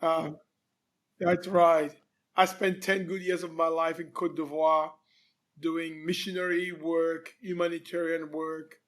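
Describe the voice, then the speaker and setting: A Cameroonian man in his fifties with a fairly high voice tells his life story as an interview guest. He speaks in a relaxed way, with frequent pauses and fillers. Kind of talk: conversational interview.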